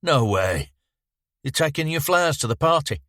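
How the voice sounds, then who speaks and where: A man's voice: fairly high pitch, very animated, moderate pace, fairly bright tone, no echo, clean studio sound, voice pushed up. A man reading aloud, voicing a menacing sixteen-year-old boy who is curt and swears. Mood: coaxing.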